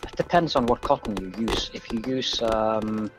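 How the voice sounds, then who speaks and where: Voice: mid-range voice, normal speed, over music. A player from Norway, chatting in a friendly, teasing way during a game stream. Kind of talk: casual chat.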